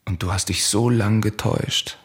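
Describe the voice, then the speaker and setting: An actor playing a cold, vengeful young prince in a radio play of Greek tragedy, stern and commanding with a husky voice. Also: male voice, fairly low pitch, fairly even intonation, moderate pace. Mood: cold, taunting.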